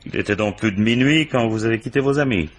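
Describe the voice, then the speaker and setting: An actor playing a shrewd, world-weary police commissioner in a French radio crime drama, with a dry, probing, ironic manner. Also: male voice, medium pitch, quick pace, a little hiss or hum, close to an everyday voice.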